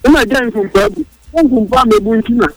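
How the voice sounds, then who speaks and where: A man calling in to a radio show, speaking haltingly and repeating himself. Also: very high voice, sing-song, creaky, over music, rough recording. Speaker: caller.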